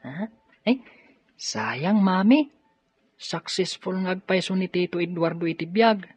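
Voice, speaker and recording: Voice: medium pitch, expressive, unhurried, breathy edge. An actor playing a curious, eager young student son in a radio drama, asking questions with youthful keenness. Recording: dull, dry studio audio.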